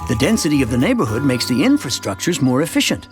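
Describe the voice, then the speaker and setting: A man narrating an animated short as a contented townsman, telling a scripted first-person story in a conversational storytelling manner. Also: mid-range voice, fast, natural voice. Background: music.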